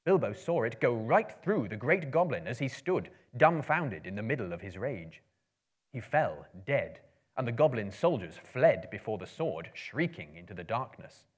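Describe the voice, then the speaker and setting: A man in his thirties giving a dramatic book reading, with theatrical, measured, emphatic phrasing. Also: mid-range voice, big pitch swings, husky. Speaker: storyteller. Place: room lined with books, indoors.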